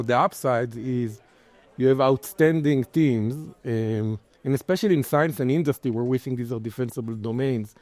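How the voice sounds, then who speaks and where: A man with an Israeli accent, speaking as a venture investor on a conference panel, animated, with many "like" and "you know" restarts. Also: medium pitch, no room sound. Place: conference stage.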